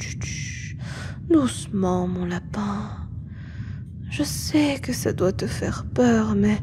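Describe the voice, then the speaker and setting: Casting: woman to play female lamia nurse for roleplay ASMR. Mostly whispered, unhurried, tender and teasing, softly possessive. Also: medium pitch. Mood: soothing, coaxing. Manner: soft, slow, soothing, coaxing. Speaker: voice performer in roleplay audio.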